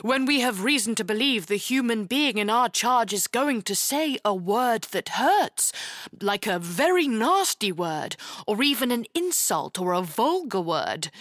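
A woman plays a tiny, earnest knight from a children's fairy tale. The delivery is gallant and solemn, with flustered moments, very animated intonation and a measured pace, in a close, dry recording. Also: fairly high pitch, no room sound. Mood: proud explaining.

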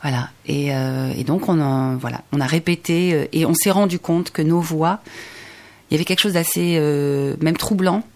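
Woman, forties, Indonesian native speaker, low voice, hesitant, many fillers, singer interviewed on radio. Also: normal speed, a little mellow. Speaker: singer, guest.

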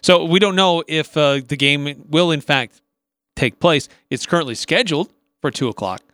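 An American man co-hosts a sports radio show, talking through analysis in a conversational way. His voice sits a little high. The recording is dry, with very little room sound. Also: normal speed, fairly bright tone, no room sound.

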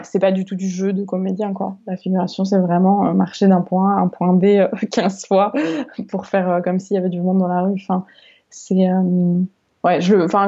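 Young woman, interview guest; halting, restart-prone delivery; warm low-energy timbre. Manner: hesitant, many fillers and restarts. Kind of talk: casual interview chat. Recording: dull lo-fi recording.

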